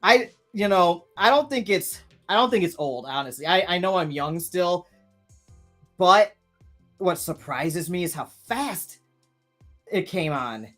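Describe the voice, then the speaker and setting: A man's voice: high-pitched, expressive, unhurried, fairly bright tone, soft background music. A man in his thirties hosting a live stream, chatting casually and rambling with many restarts while reading viewer comments. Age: 30s.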